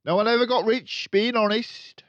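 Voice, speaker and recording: A man voicing a rude, boastful, crooked car-dealer father in a storybook, loud and sneering. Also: high voice, fairly even intonation, moderate pace, dry recording, highs cut off, pitched above the performer's own voice. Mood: gleeful bragging. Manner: loud bragging, sneering, colloquial.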